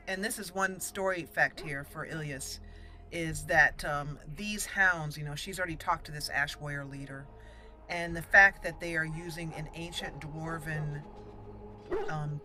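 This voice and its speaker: A woman's voice: low-pitched, sharp tone. A woman hosting a casual roleplay game playthrough, chatty and giggly with playful exclamations. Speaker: let's play host. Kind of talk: casual gameplay commentary.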